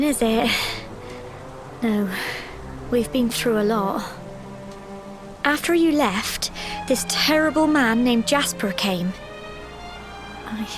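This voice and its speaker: High-voiced, gentle young villager. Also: female voice. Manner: earnest, breathy hesitations, emotional. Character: gentle, tender-hearted young village woman. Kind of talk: video game cutscene acting. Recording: orchestral game music behind. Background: game music.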